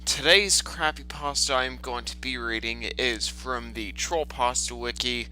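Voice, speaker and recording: Bright, forceful man reading with sarcasm. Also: medium pitch, a little slow, faint music behind, rough recording. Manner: sarcastic, foul-mouthed, exasperated asides. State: lingering cough, clears throat. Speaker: host of a storytime reading series. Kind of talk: mocking dramatic reading with commentary.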